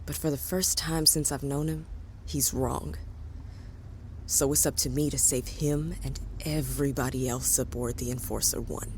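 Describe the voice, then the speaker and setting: A woman's voice: a bass voice, fairly even intonation, normal speed. A woman playing a bitter, threatening space-fleet officer in an audio drama, with a sarcastic, commanding manner. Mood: bitter menace. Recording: faint background noise.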